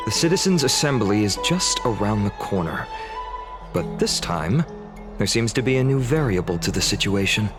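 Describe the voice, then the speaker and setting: A low male voice over prominent background music, playing a courteous young scholar-warrior in a video game. He speaks formally and earnestly, setting things out in measured, careful phrases. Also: sing-song. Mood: calm, explanatory. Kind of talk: scripted video game dialogue.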